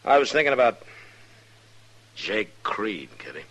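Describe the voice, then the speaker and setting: A man playing an easygoing but wary frontier marshal in a radio western, deep-voiced, with a halting, understated delivery. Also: big pitch swings, fairly bright tone, heavily acted. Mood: brooding. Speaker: lead actor and narrator. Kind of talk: 1950s radio western acting.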